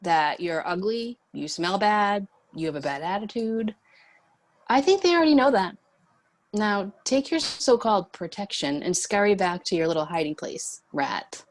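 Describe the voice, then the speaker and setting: A woman's voice: medium pitch, expressive, moderate pace, natural voice. An actress in a screenplay table read playing a tough, defiant, protective female bounty cop with a firm, curt manner. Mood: defiant sarcasm.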